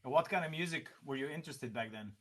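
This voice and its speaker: A man with a Serbian accent hosts a casual livestream interview. He is relaxed, laughs easily and answers with short agreeing words. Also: mid-range voice, quick pace. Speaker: show host.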